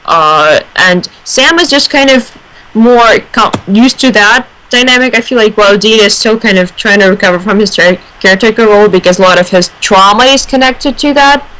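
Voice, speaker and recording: A woman's voice: medium pitch, animated, normal speed, pushed and bright, slight background noise, poor audio. A woman in a casual fan discussion, analysing aloud and searching for her words. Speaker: fan radio panelist.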